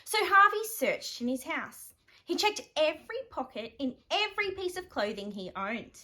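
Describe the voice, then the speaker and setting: An Australian woman reading a children's picture book aloud, animated and dramatic with playful emphasis. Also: high voice, normal speed. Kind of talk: expressive children's bedtime story reading.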